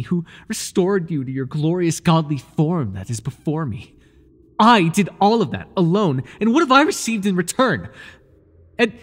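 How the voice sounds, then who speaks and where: A man playing an obsessive, jealous high priest in an ASMR roleplay, fawning over his god in grandiose, stammering, pleading speech. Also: breathy edge, exaggerated voice. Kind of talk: ASMR roleplay monologue, dramatic acting.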